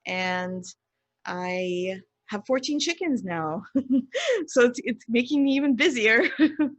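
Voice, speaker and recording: A woman speaking as an expert guest in an interview, explaining in an earnest, measured way with emphasis on key words. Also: on the high side, animated, no echo, highs cut off. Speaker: podcast guest, pediatrician.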